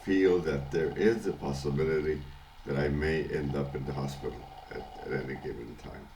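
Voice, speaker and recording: An older man with a congested, hoarse voice from illness, talking in a halting casual video diary at home, often chuckling. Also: a bass voice, fairly even intonation, background noise. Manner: halting, many restarts and fillers, chuckles often. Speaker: vlogger. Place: at home, early morning.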